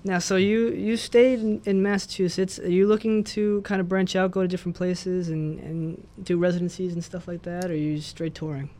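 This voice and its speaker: A man's voice: high-pitched, steady tone, moderate pace, few pauses, warm tone. A man hosting a radio interview, chatting casually and enthusiastically with a musician guest. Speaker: radio host.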